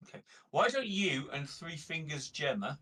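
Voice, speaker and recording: Husky man, slightly distant from the mic. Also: on the high side, very animated, moderate pace, fairly bright tone. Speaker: player in a tabletop roleplaying game. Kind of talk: casual group game chat, planning aloud.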